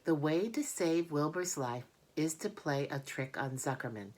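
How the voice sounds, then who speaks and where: A woman voicing a patient, clever female spider in a children's storybook, measured and musing. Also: deep voice, lively intonation, darkish tone. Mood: calm, musing.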